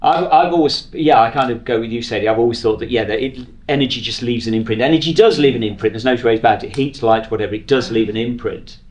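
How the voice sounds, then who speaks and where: A man's voice: mid-range voice, fast, few pauses, darkish tone. A man hosting a podcast in a casual, conversational way, prompting others with questions. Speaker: podcast host. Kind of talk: casual interview chat.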